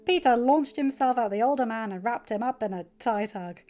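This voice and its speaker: A woman's voice: on the high side, brisk, mellow, lo-fi sound, soft background music. A woman reading scripted story narration as the narrator of a fan fiction audiobook.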